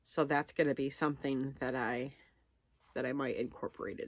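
A woman's voice: very deep voice, fairly even intonation, normal speed, dry recording. A middle-aged woman chatting casually and unscripted to camera, rambling and self-deprecating as she thinks aloud. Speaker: vlogger. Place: living room at home, on a couch.

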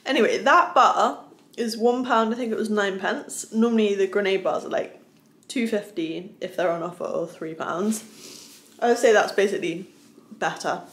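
A young woman vlogging about her day and workouts, chatting upbeat to a close phone camera, then calmly explaining each exercise in a voice-over. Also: mid-range voice, normal speed, husky. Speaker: fitness vlogger. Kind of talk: casual vlog chat and workout voice-over.

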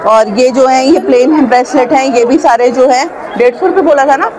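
Woman market vlogger, high bright voice, chatty price checks, loud crowd behind. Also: a little fast, noisy background. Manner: chatty, asks vendors prices and repeats them to viewers. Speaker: vlogger touring a street market. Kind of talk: casual market tour narration. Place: busy outdoor street market.

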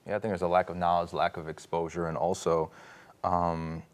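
A man in his thirties from New York joins a studio panel discussion. His voice is very deep and his talk is casual and conversational. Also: little pitch movement, brisk. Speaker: panelist on a religious study show. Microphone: lapel mic.

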